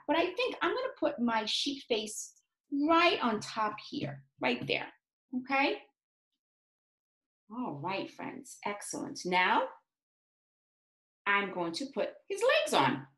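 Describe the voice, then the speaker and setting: A woman speaks to young children as their teacher, encouraging and expressive. Her voice is fairly high and swings widely in a sing-song way, at an unhurried pace. It sounds like a home classroom picked up on a computer mic. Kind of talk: read-aloud and craft lesson for young children. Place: small indoor home classroom. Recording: roomy, echoey home room sound.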